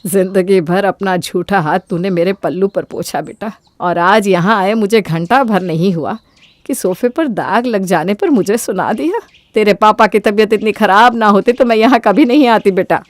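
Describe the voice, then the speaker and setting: An aggrieved older mother, played by a woman speaks with wounded reproach. She is a family-drama character in a moral story, mid-pitched and recorded very dry. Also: normal speed, dry recording, close to an everyday voice. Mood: hurt, reproachful. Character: aggrieved older mother, hurt by her daughter-in-law.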